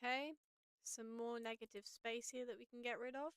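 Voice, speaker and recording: A woman with a slightly raspy voice, casually walking viewers through audio editing on a live stream and thinking aloud as she works. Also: fairly high pitch, flat delivery, moderate pace, a bit bright, no room sound, clean studio sound.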